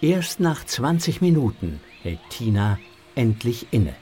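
A man narrating a scripted children's audio story with a husky voice. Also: mid-range voice, sing-song, takes it slowly, over music.

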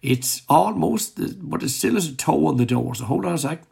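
A male radio host with a heavily gravelly, husky voice, croaky from an illness he is getting over. He chats in a loose, rambling way between songs, with plenty of fillers. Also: fairly high pitch, lively intonation, moderate pace, a little mellow. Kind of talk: casual radio DJ chat.

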